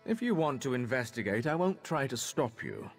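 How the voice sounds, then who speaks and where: Haughty, expressive elven magistrate. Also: male voice, mid-range voice, normal speed, faint music behind. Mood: guarded, formal. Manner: formal, disdainful. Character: stern, haughty elven magistrate, father of a rebel. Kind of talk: video game character dialogue.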